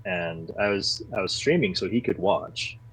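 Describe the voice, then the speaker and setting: A man talking casually as a podcast guest, earnest and getting animated when he defends his views. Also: low voice, normal speed, breathy edge, rough recording. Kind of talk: casual conversation.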